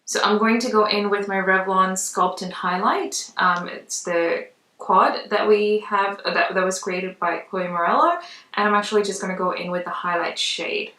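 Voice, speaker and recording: A woman's voice: medium pitch, normal speed, bright, forceful tone. A woman giving a casual makeup tutorial in a chatty, conversational way. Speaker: makeup vlogger. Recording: roomy echo, distant mic.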